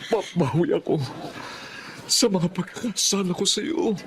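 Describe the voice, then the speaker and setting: A man's voice: high-pitched, unhurried. A man playing a tender, remorseful Filipino husband in a radio drama. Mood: tender, emotional. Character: tender, remorseful husband reunited with his wife.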